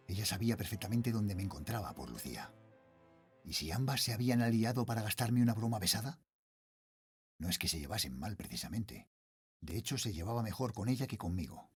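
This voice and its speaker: A middle-aged man with a Spanish accent from Spain playing an uneasy night watchman and father, narrating a horror tale in a suspenseful, brooding way. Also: on the low side. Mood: agitated. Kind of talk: dramatic first-person horror narration.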